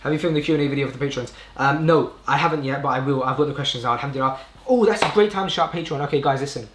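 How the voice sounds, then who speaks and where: A man's voice: mid-range voice, rapid-fire, a bit bright. A young British man hosting a casual live stream, talking informally in a rambling way and often correcting himself. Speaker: podcast host live streaming. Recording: roomy indoor echo.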